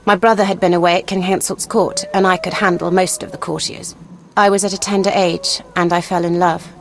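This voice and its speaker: A woman playing a proud, vengeful young noblewoman in a fantasy video game, speaking earnestly and deliberately. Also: fairly low pitch, little pitch movement, a little hiss or hum, close to an everyday voice. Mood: bitter, reminiscing. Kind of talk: video game dialogue acting.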